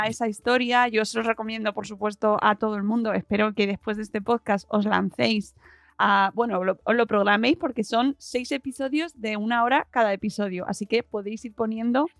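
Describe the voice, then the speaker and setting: A woman with an accent from Spain, hosting a casual conversational podcast interview, often pausing and repeating phrases. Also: on the high side, no room sound. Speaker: podcast host.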